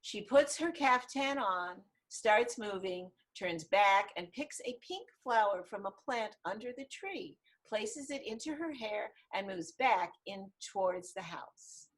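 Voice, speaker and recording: A woman's voice: mid-range voice, lively intonation, a little slow, hardly any pauses. A woman narrating the stage directions of a screenplay in a scripted table read over a video call. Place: online video call reading.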